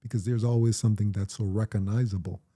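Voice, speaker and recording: A middle-aged Jamaican man speaking in a very dark, mellow tone. His voice sits a little low, and he tells his stories in a measured, reflective way. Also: fairly even intonation. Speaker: interviewee, parent. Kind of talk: casual conversation.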